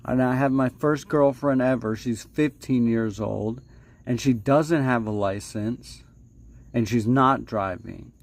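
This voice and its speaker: A man telling stories casually as a livestream host, halting and repeating himself, playfully dramatic with stretched words and hushed asides. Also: medium pitch. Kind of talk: casual rambling spoken storytelling.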